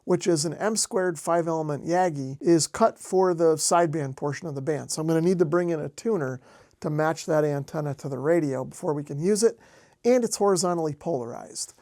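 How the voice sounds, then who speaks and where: A man's voice: a little high, a little fast, a little mellow, no room sound, clean studio sound. An American man casually narrating a hands-on hobby demonstration as host. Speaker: host, amateur radio hobbyist.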